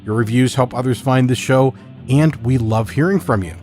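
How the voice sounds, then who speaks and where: Male podcast host, measured and deliberate, mid-pitched voice, scripted intro read. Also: a little mellow, faint music behind.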